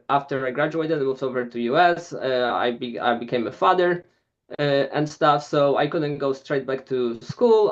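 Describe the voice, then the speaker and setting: A man's voice: medium pitch, highs cut off. A man, giving an informal club presentation in a hesitant way with many filler sounds. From Poland. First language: Polish.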